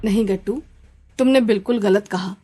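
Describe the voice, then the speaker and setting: A woman's voice: mid-range voice, moderate pace, dark tone, soft background music, close to an everyday voice. A woman voicing a kind but firm schoolteacher who explains things to children in a cartoon story. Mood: gently admonishing.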